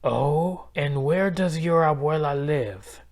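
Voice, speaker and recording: A man's voice: a little high, takes it slowly. A man voicing a sly, hungry male coyote villain in a children's storybook read-aloud. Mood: sly, scheming.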